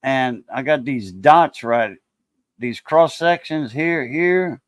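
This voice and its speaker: A man's voice: mid-range voice, slow pace, no room sound. A man about to turn sixty chatting casually on a live stream, laid-back, laughing easily and using many fillers. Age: 50s. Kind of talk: casual chat.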